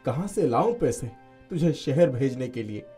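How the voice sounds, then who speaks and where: A man voicing a poor, good-natured village farmer in an earnest, expressive storybook delivery. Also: medium pitch, normal speed, faint music behind, close to an everyday voice. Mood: weary worry.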